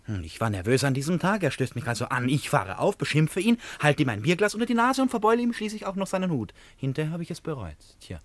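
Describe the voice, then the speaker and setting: Restless eccentric man, wildly animated. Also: on the high side, fast talker, few pauses, no room sound. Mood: intense, confiding. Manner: theatrical, swinging from confiding to outbursts. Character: eccentric, nervous, impulsive man, talkative and self-dramatising. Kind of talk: German radio drama acting.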